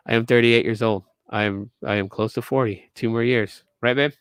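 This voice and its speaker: A man's voice: mid-range voice, steady tone, a little slow, clear, resonant tone, no echo. A man in his late thirties hosting a tech podcast, ranting casually to viewers with emphatic repeats and restarts. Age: 30s. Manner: emphatic, repetitive restarts, lecturing.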